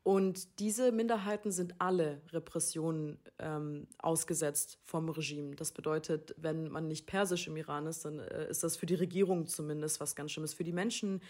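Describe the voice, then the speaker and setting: A woman hosting a talk, calmly explaining background information to listeners. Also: low voice, normal speed, hardly any pauses. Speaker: podcast host.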